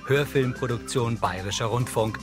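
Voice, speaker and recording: A man reading scripted audio description in a measured, neutral way. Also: mid-range voice. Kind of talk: scripted narration. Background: background music.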